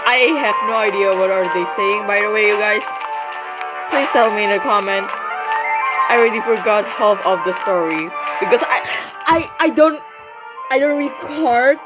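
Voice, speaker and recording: A woman giving casual, rambling playthrough commentary, excitable and often repeating her words. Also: medium pitch, moderate pace, low-quality recording. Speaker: gaming video creator. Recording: music behind the voice. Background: clear game music.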